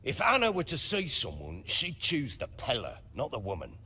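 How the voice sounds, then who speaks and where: Gruff, husky hard-drinking baron, swooping delivery. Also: male voice, mid-range voice, huge pitch swings, moderate pace, bright, forceful tone, exaggerated voice. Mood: gruff, wary. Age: middle-aged. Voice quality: rough, husky. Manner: gruff, blustering, defensive. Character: burly middle-aged hard-drinking baron, gruff and defensive. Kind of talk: video game voice acting.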